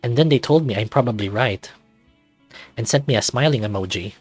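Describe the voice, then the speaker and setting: A man's voice: medium pitch, fairly quick, darkish tone, slightly rough, no echo, soft background music, close to an everyday voice. A man voicing an anxious, self-doubting man in his thirties, narrating a visual novel introspectively in the first person. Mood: anxious, wistful. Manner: introspective, confessional, dramatic reading.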